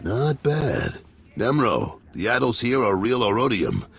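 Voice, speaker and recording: A man playing a blunt, businesslike lieutenant to a crime lord in a video game, with a gruff, husky voice. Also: mid-range voice, sing-song, measured pace, fairly bright tone, exaggerated voice. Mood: businesslike, weary. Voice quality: gravelly, husky. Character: gruff, wary crime lord's lieutenant and enforcer. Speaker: voice actor in a game. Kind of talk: video game cutscene acting.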